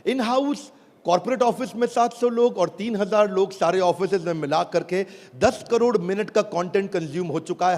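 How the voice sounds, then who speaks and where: A man with an Indian accent giving an emphatic motivational sales presentation on stage, calling out to his audience and repeating phrases. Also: high voice. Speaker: business speaker presenting a product launch. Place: large event hall with live audience. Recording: echoing hall, audience noise, loud background.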